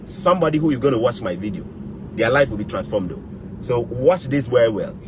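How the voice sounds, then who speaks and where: A man's voice: mid-range voice, lively intonation, fairly quick, background noise. A Ghanaian man giving motivational advice to camera in an emphatic way, repeating key words and phrases for weight. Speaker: YouTube vlogger.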